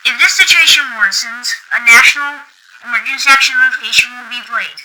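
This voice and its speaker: A woman reading an emergency alert in a crisp, official delivery. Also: on the high side, brisk, background noise.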